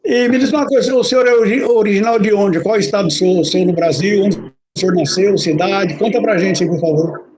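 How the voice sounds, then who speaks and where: High-voiced chatty male interviewer. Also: brisk, darkish tone, low-quality recording. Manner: chatty, polite questions. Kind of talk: interview chat.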